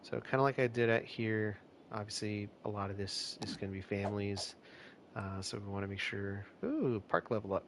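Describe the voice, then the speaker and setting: A man with a low, gravelly, slightly nasal voice talks his way through a city-building game on a live stream. He rambles easily, trailing off and restarting, with a faint hiss of noise under the mic. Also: moderate pace. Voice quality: a bit nasal, stuffed-up. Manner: relaxed, rambling, many fillers and restarts. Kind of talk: casual live-stream commentary.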